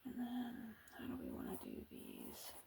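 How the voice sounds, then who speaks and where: A woman chatting softly as she draws for an ASMR video, thinking aloud with hesitant pauses and often slipping into whispers, close to the mic. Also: a little high, huge pitch swings, vocal fry, husky. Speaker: artist drawing on camera. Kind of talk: soft-spoken ASMR drawing chat.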